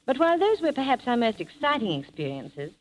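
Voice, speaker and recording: A woman with a fairly high, very lively voice recounts her travels on a 1930s radio show. The delivery is formal and polished, clearly scripted, with faint noise on the old recording. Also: fairly quick, rough recording. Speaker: guest traveller and film-maker.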